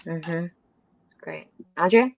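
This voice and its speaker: Low-voiced woman hosting, slightly dull sound. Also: slow pace, gravelly, dry recording. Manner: affirming, frequent murmured agreement. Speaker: podcast host. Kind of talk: conversational interviewing.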